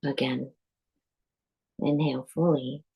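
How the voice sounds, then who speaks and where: A woman leads a guided meditation in a soft-edged, husky voice with a dark, relaxed tone. Her delivery is very slow, calm and deliberate. She gives instructions in short, measured phrases, leaving space between them. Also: extremely low pitch. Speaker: yoga nidra teacher.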